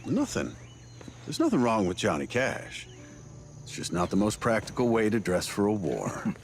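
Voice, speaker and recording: A man acting a jovial, wisecracking middle-aged fixer in a video game, with a teasing, folksy, expressive delivery. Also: lowish voice, moderate pace, soft background music. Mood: ribbing.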